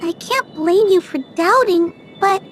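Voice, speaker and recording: A very high, girlish, puzzled companion. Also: slow, a little hiss or hum. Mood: worried. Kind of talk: video game voice acting.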